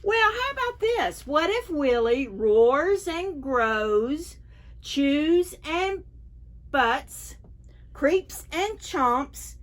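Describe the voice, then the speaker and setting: Expressive, slow-paced woman reading to children. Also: high-pitched. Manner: expressive, unhurried, asking the children questions. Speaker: children's library storytime host. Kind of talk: picture-book read-aloud for preschoolers.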